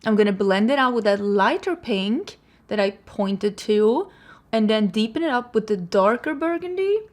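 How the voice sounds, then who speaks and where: A woman's voice: on the high side, lively intonation, moderate pace. A woman from Sweden with a Swedish accent, chatting casually through a makeup tutorial in a self-deprecating, laughing way. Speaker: beauty vlogger.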